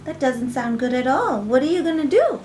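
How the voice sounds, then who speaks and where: A woman's voice: high-pitched, sing-song, fairly quick, a little mellow, slight background noise. A woman voicing a friendly, helpful young lion cub in a bedtime story, eager and full of questions. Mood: concerned.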